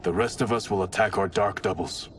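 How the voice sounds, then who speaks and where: An actor playing a stern warrior leader in a video game cutscene, blunt and commanding. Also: male voice, deep voice, brisk, fairly bright tone, far from the mic, slight background noise.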